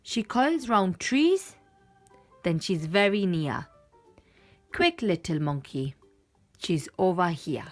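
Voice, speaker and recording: Woman as an eager, coaxing storybook butterfly, unhurried. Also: on the low side, expressive, soft background music. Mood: eager, confident. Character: helpful, muddled butterfly, eager and confident guide. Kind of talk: children's picture book read-aloud.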